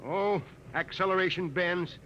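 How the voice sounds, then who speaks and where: A man's voice: sits high, measured pace, a bit bright, lo-fi sound, slight background noise. An actor playing an eager veteran space radioman, wheedling in a folksy way in a 1950s radio play on an old recording. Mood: pleading, chummy. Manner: folksy, pleading.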